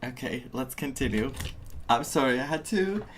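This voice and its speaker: A game streamer giving casual live reactions, expressive and amused, chatting to viewers. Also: medium pitch, normal speed, a little hiss or hum. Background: game music.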